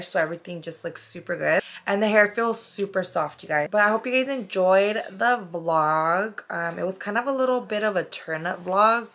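Chatty young woman with a raspy voice. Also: medium pitch, a bit bright. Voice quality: chatty, lots of fillers like "like" and "um". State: slightly hoarse, raspy voice. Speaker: vlogger. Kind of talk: casual chat to camera. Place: bedroom, indoors.

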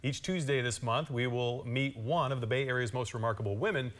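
A man's voice: medium pitch, a little fast, a bit bright. A man in his thirties or forties with an American accent, anchoring at a TV news desk. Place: TV news studio.

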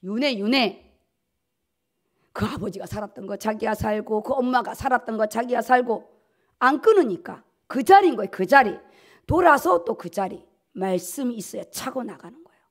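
A woman's voice: fairly high pitch, animated, normal speed. A middle-aged woman pastor preaching a sermon at a pulpit, emphatic and repeating key words for stress. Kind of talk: sermon, preaching at a dawn service. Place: at a pulpit in a church auditorium. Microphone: podium gooseneck microphones.

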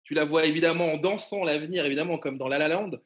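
A man's voice: on the high side, quick pace. A man hosting an informal debate show over video call, with a casual, teasing manner. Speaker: talk show host. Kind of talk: informal televised debate moderation.

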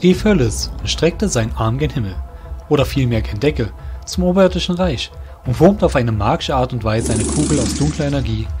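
A man's voice: medium pitch, animated, a little fast, darkish tone. A man narrating a scripted fantasy audio drama as storyteller. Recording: music under the voice.

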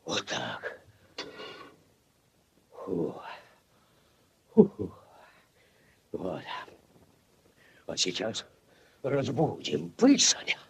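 A man's voice: fairly low pitch, huge pitch swings, extremely slow, vocal fry, pauses often, breathy edge, full character voice. An actor playing a bossy, blustering village headman full of exclamations, in 1940s radio style. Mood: excited, bossy. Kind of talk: 1940s Soviet radio acting.

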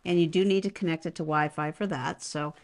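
Low-pitched woman reviewing a product. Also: fairly even intonation, fairly quick, a little hiss or hum. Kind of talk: casual unboxing and demonstration. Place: indoors at a home.

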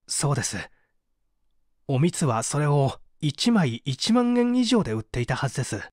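An actor playing a poor, contrite painter making an earnest, theatrical confession in a dramatic audio mystery. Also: male voice, a little high, big pitch swings, normal speed, darkish tone, no room sound, studio quality. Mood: subdued. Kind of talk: dramatic Japanese audio reading of a mystery story.

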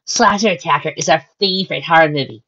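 A woman voicing a playful, excitable teenage girl with a squeaky delivery, reading a story. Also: quick pace, husky, lo-fi sound, heavily acted. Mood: bubbly. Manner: squeaky, exclaiming, bubbly.

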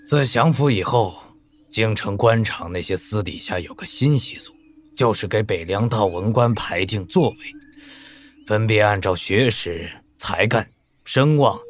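Reserved imperial official, lowish voice, courteous, measured, formal, wuxia audio drama. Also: male voice, slight background noise.

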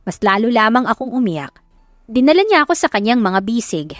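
A woman's voice: fairly high pitch, big pitch swings, fairly quick, no room sound, clean studio sound. A Filipino woman narrating a first-person romance story dramatically, as in an audiobook. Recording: music behind the voice.

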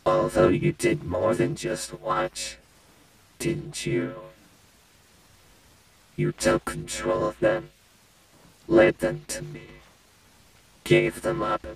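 A man voicing a broken animatronic rabbit villain with a gravelly voice, taunting with mock-friendly, playful menace in horror-game lines. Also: on the low side, sing-song, drawn-out words, long pauses, heavily acted, rough recording. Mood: accusing, sinister. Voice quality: gravelly, creaky. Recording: loud music under the voice.

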